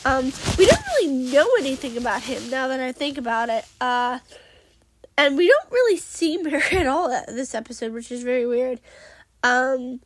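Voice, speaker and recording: A woman's voice: sits high, animated, moderate pace, dry recording, low-quality recording. A woman hosting a casual solo recap podcast, a little sniffly from a cold, chatty and rambling with many fillers and restarts. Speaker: podcast host.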